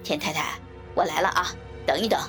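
Lady doing a creepy menacing guy for an audiobook, low n raspy, mocking, kinda sharp edge. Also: faint music behind. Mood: calling out. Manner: slow, taunting delivery. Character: lecherous, menacing male vegetable-shop villain, mocking and coercive.

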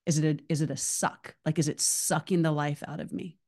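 A woman's voice: deep voice, fairly even intonation, fairly quick, mellow. A woman hosting a podcast, chatting casually and rambling off on tangents. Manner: chatty, rambling, self-described tangent talker. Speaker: podcast host.

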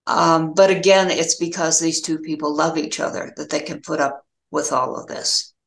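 A woman's voice: sits low, creaky. Older American woman, echoey sound. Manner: halting, thoughtful, pausing mid-phrase. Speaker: novelist being interviewed. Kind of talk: reflective interview answers.

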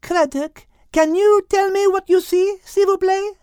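A woman's voice: very high voice, fairly even intonation, unhurried, dark tone, no echo, studio quality, voice pushed up. A woman voicing a composed, clever female cat with a French accent and a polite, gracious manner in an audiobook. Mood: calm.

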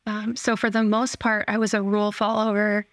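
A woman in her thirties, a podcast guest telling her personal story in a reflective, relaxed way. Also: medium pitch, moderate pace, no echo, studio quality. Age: 30s.

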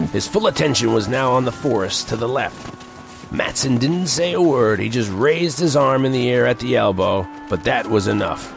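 An actor playing an eager, inquisitive young newspaper reporter in a western audio drama, a little hesitant and nervous. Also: male voice, mid-range voice, vocal fry. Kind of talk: western audio drama acting with first-person narration.

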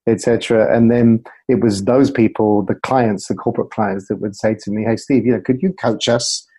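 A man's voice: fairly low pitch, fairly even intonation, normal speed, dark tone, husky, dry recording. A man speaking as a communication coach in an interview, explaining things through anecdotes. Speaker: communication coach and former broadcaster.

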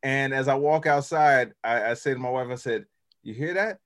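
A man's voice: medium pitch, moderate pace, a bit bright. A man hosting a podcast, chatting casually and animatedly with slang and many restarts. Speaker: podcast host. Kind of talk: casual chat.

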